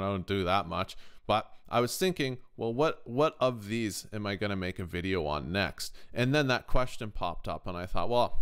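A man hosting a casual software tutorial, explaining conversationally and often checking in with the listener. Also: lowish voice, smooth. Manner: conversational, checks in with "right?" and "okay?". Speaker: tutorial host, music production YouTuber.

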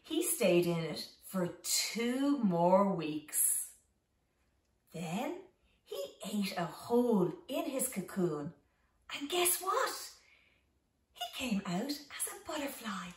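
A middle-aged woman reading a children's story aloud as a teacher, with simple, deliberate phrasing and expressive emphasis. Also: mid-range voice. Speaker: home teacher reading a picture book to young children. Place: small living room at home, indoors. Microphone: camera a few metres away. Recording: roomy echo, distant microphone.

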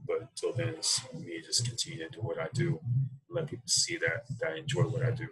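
A man with a very low, creaky voice and an American accent talks casually on a video call. His pitch moves around a lot as he thinks aloud in halting, reflective phrases. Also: huge pitch swings, fairly bright tone, poor audio. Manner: halting, thoughtful, unhurried phrasing. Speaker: interview guest, coffee roaster. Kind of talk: reflective casual interview talk. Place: small room indoors, video call. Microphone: computer mic on a video call.

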